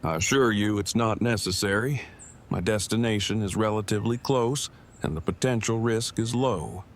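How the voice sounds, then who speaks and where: A man's voice: lowish voice, normal speed, close to an everyday voice. A man playing a stiff, pedantic, earnest inventor in an audio drama, speaking in formal, wordy, precise sentences. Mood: curt, surly. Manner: formal, verbose, precise wording. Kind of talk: fan audio drama acting.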